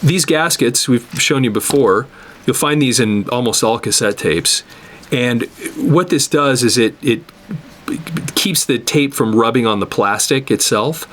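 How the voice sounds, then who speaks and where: A man giving an unscripted hands-on repair tutorial, explaining step by step in a halting way and repeating words as he works. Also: medium pitch, a bit bright. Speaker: instructor demonstrating cassette tape repair.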